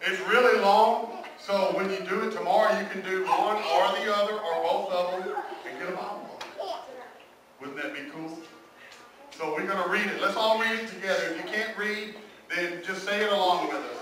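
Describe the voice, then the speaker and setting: A man's voice: sits high, normal speed. A man presenting a children's church puppet show in a playful, chatty way, speaking to the kids. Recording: roomy, distant, very noisy live hall recording.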